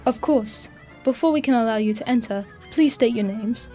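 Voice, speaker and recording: Woman as a wary fantasy gate guard lady, mellow kinda high voice, official and polite, audio drama. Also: moderate pace, soft background music, natural voice. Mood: firm, wary. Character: firm, wary female gate guard of a pack town.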